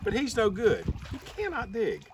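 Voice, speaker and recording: Scornful storybook dogs, snooty and dismissive as acted out by a man reading aloud. The voices jump up high and swing about in pitch. The recording sounds a little distant. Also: huge pitch swings, moderate pace, background noise. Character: scornful pack of dogs rejecting a big newcomer.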